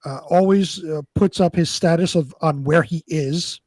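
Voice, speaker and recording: Male co-host, slightly high register, relaxed conversational style, dry close recording. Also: normal speed, no echo. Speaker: co-host of a live online show. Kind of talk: casual conversational chat.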